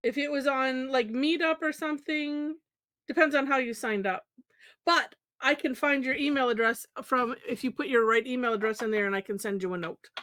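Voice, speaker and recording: A woman's voice: high voice, moderate pace, fairly bright tone, no room sound. A woman hosting an online drawing class from home on a video call, chatty and a little scattered, with frequent exclamations. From Michigan, USA. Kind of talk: casual online class chat.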